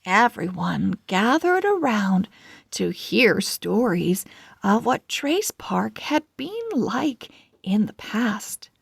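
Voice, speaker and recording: Woman, children's read-along narrator, very slow pace, lively pitch, creaky edges, close dry sound. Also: no room sound. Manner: deliberate, expressive phrasing for young readers. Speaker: children's book read-aloud host.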